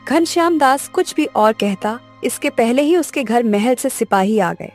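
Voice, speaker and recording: A woman's voice: a little high, lively intonation, measured pace, darkish tone, soft background music. A woman narrating a scripted children's moral story.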